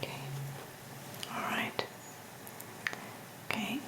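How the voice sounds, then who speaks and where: A woman playing a calm, attentive clinician in an ASMR roleplay, speaking in a breathy, gentle, reassuring whisper. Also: takes it slowly, poor audio. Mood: gentle, soothing. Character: calm, attentive clinician giving an ear exam. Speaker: ASMR performer. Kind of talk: soft-spoken ASMR roleplay.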